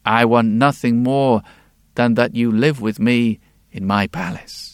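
A man voicing a kindly old king who speaks slowly and low, with weary resignation. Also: fairly even intonation, clear, resonant tone, no room sound, clean studio sound, natural voice. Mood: resigned.

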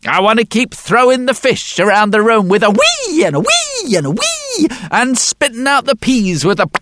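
A man voicing a mischievous old man, the king's long-lost brother, who talks loudly with high, squealing glee. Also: wildly swooping pitch, moderate pace, slight background noise, exaggerated voice. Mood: gleeful. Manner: gleeful, loud, high and squealing.

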